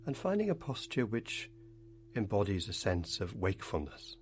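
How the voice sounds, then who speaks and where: A man leading a guided meditation, speaking in unhurried phrases with long pauses between them. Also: on the low side, very animated, noisy background.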